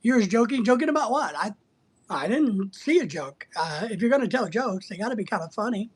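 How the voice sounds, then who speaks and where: A livestream host from the United States with a husky voice, chatting casually and bluntly while teasing viewers and rambling through answers to their comments. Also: medium pitch, animated, brisk, slight background noise. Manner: blunt, teasing, sometimes breaking into playful exclamations.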